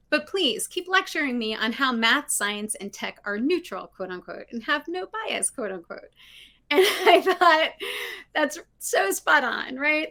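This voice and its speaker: A middle-aged woman speaking as a guest on a video call from her living room, talking animatedly and often tagging her points with "right?". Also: on the high side. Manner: animated, frequent "right?" tags, laughs. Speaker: guest, diversity consultant. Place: home living room with bookshelves. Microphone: video call, laptop mic.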